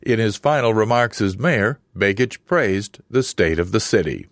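A man reading a scripted radio news report in a measured, even newsreader delivery. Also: lowish voice, dry recording, clean studio sound.

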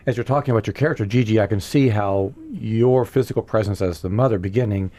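A man hosting a casual talk show, putting halting, rambling questions to his guests. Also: fairly low pitch, mellow. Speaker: radio talk show host. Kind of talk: casual interview.

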